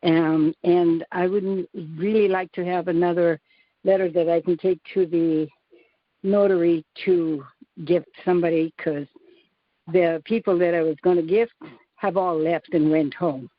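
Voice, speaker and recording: A woman's voice: lowish voice, steady tone, measured pace, no echo. A woman calling in by telephone, chatting in a casual, informal way. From Hawaii. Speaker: caller. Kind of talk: casual phone chat. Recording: dull lo-fi phone line.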